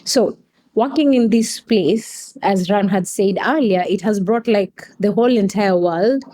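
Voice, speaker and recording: A woman's voice: medium pitch, a little slow, mellow. A woman from Kenya with a Kenyan accent, explaining things articulately in a conversational interview as a guest. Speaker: podcast guest, coffee company staff member.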